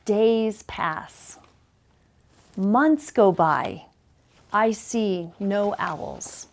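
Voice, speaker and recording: A woman reading a children's picture book aloud in a deliberate, measured way with dramatic pauses. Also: medium pitch. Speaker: story reader, school superintendent.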